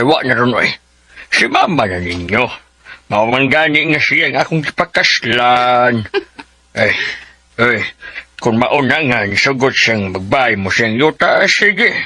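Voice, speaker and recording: A man's voice: medium pitch, lively intonation, unhurried, pushed and bright, dry recording. An actor with a Cebuano accent playing a teasing older father in a colloquial radio drama. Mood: agreeable. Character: teasing older father gossiping about his sister-in-law.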